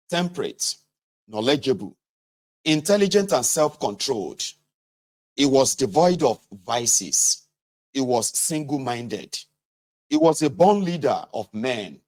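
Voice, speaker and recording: Guy reading a political memoir out loud, medium pitch, measured with pauses for emphasis. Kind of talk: audiobook-style reading aloud of a first-person memoir.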